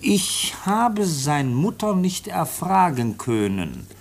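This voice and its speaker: A matter-of-fact official in a radio drama, explaining a case. His voice is husky and gravelly, with lively, varied intonation. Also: on the high side, full character voice. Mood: deliberate reading. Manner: matter-of-fact, explanatory, slow and deliberate when reading aloud. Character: brisk male police official reporting on a case. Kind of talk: radio play acting.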